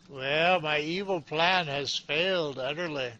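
A man's voice: on the high side, unhurried, pushed and bright. An older man giving casual gameplay commentary at home, thinking aloud, mocking himself and cursing mildly. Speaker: let's play gamer. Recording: dull lo-fi home recording.

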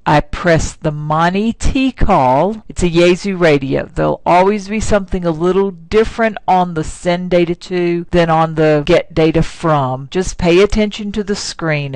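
A tutorial narrator with a smooth, clean voice and a dry, close sound. The delivery is instructional and plain-spoken, walking a learner through one step after another. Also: mid-range voice, no room sound, low-quality recording.

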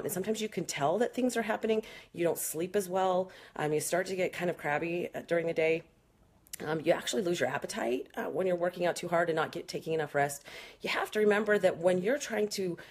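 A woman's voice: on the low side, slightly rough. A woman in her thirties, a fitness trainer, answering questions in a frank, chatty live stream with many fillers, filmed on a phone at arm's length in a small room. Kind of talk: casual live Q&A. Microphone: phone camera at arm's length.

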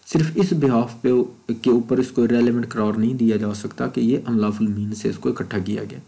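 A man's voice: medium pitch, fairly even intonation, fairly quick, gravelly, mellow. A man with a Pakistani accent, an instructor reading out quiz questions and explaining the answers in a mix of Urdu and English. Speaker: law test-prep instructor.

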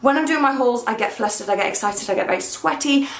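Excitable croaky young woman. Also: fairly high pitch, fast. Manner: excitable and gushing. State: croaky, hungover voice. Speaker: fashion vlogger. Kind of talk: casual try-on haul chat. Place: small bedroom, indoors. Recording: echoey room.

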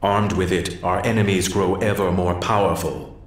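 An actor playing a grave, ominous mystic in a video game, with a portentous manner. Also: male voice, sits low, flat delivery, normal speed, roomy sound, lightly acted.

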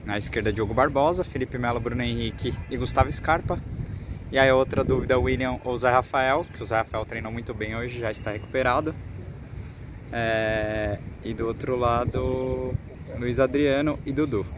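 A young Brazilian man talks in a medium-pitched voice as an informal sports reporter for a fan channel. He goes haltingly, repeating words as he goes. He is outdoors on a phone held at arm's length, with clear ambient noise around him. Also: steady tone. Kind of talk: informal football vlog report to camera. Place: outdoors on a football training pitch. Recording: outdoor noise with distant vehicles.

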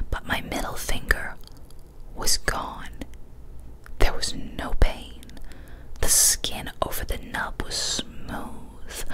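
A young woman reads a horror story in a soft, breathy ASMR whisper, slowly and deliberately, close to a studio microphone. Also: extremely high pitch, poor audio. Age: young adult. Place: small decorated indoor room. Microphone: large studio condenser mic, up close.

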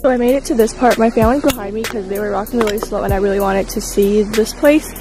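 A woman's voice: medium pitch, fairly even intonation, fast, rough recording. A young woman from San Francisco vlogging casually on a hike, rambling with lots of fillers, filmed on a handheld phone outdoors. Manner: chatty, rambling, filler-heavy. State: out of breath. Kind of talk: casual travel vlog. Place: outdoors on a canyon trail. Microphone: handheld phone at arm's length. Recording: music playing under voice.

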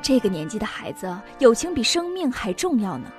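Young elder sister, radio drama, gentle, pleading, high voice, very animated intonation. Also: female voice, fairly quick, music in the background. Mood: soothing. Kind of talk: audio drama acting.

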